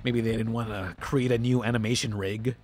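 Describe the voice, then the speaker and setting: A man's voice: medium pitch, steady tone, a little fast, darkish tone, faint music behind. A man narrating a scripted review as host, in a sarcastic manner.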